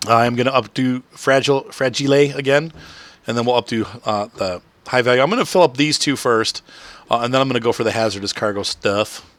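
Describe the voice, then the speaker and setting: Chatty man with a bright, slightly rough voice. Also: medium pitch, a little fast, no room sound. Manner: rambling, chatty, with frequent fillers and soft laughs. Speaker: gaming channel host. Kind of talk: casual live-stream gameplay commentary.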